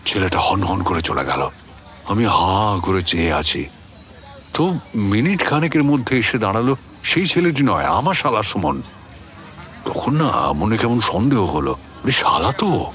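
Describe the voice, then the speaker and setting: A man playing a puzzled, suspicious narrator of a radio story, conversational and expressive, with a West Bengal Bengali accent. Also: lowish voice, unhurried, long pauses. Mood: amazed, suspicious. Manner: conversational, expressive, self-questioning. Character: puzzled, suspicious man recounting a baffling encounter. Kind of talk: dramatized first-person storytelling for radio.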